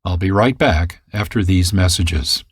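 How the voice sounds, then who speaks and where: A man's voice: low-pitched, a little slow, breathy edge, no echo, studio quality. A man presenting a radio programme as host in a scripted, announcer style. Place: dry studio, close mic.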